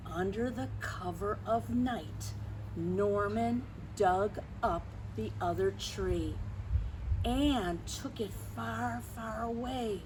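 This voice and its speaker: A woman's voice: on the high side, darkish tone. A woman hosting a children's storytime, reading a picture book expressively and adding questioning asides to young listeners. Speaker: storytime host. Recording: clearly noisy background.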